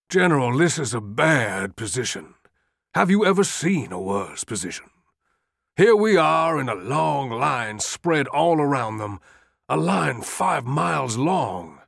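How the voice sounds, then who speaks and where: A man's voice: mid-range voice, a bit bright, dry recording, studio quality. A man voicing a weary, worried general who is pleading his case earnestly and with insistence.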